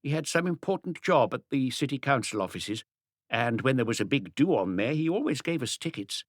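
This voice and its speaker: A man's voice: mid-range voice, expressive, normal speed, no echo, clean studio sound, voice pushed up, natural voice. A man voicing a chatty elderly bird seller in an audiobook, folksy, gossipy and wistful as he reminisces. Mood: proud reminiscing. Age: older. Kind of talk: audiobook character dialogue.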